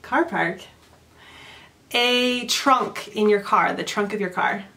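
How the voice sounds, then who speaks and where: A woman's voice: medium pitch, slow pace, bright, forceful tone. A woman in her thirties from Southern California with a Californian accent, hosting a casual chat and asking curious, giggly questions. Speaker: host.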